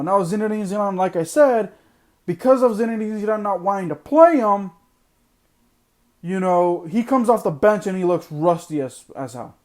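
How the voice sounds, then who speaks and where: Man, high voice, hoarse, blunt podcast rant, repeats himself. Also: a little mellow. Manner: blunt, ranting, repeats points for emphasis. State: losing his voice. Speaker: podcast host. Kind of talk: opinionated sports podcast monologue.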